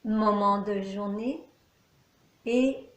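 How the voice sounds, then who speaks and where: A woman teaching yoga nidra, guiding a meditation calmly in short, measured phrases with pauses between them. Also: medium pitch. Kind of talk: guided meditation, calm instruction. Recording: echoey, reverberant room.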